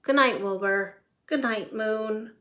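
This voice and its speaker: A woman voicing a patient, good-humoured male patchwork elephant who gently leads the others in a storybook. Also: fairly high pitch, takes it slowly. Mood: teasing, kind.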